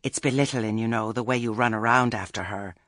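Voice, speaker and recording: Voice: medium pitch, steady tone, fairly quick, fairly bright tone, no echo, studio quality, natural voice. A narrator voicing a fussy, genteel lady witch with a trilling, patronising and over-polite manner. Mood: disdainful.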